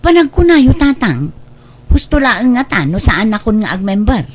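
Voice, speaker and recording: Woman playing a hesitant young woman, fairly high voice. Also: big pitch swings, normal speed, breathy edge. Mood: doubtful. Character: hesitant, polite young village woman seeking work. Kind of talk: scripted audio comedy drama dialogue.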